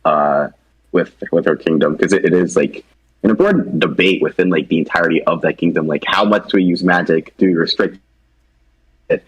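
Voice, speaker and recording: A man's voice: low-pitched, brisk, husky, muffled recording. A man talking informally and conversationally, co-presenting a creative project in unscripted discussion.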